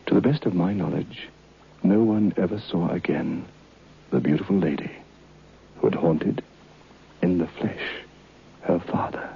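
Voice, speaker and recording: An actor playing a shaken man who tells a haunting tale in dramatic, halting radio drama style, with a gravelly, husky voice. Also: deep voice, heavily acted. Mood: grave, reflective. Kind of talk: old radio horror drama acting and narration. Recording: dull vintage radio recording.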